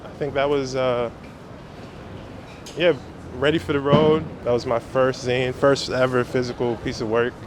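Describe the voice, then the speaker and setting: A man's voice: medium pitch, flat delivery, moderate pace. A man chatting casually and in slang, as a photographer being interviewed. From Brooklyn, New York. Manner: slangy, conversational. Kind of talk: casual chat. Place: outdoors on city streets. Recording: clear outdoor city traffic noise.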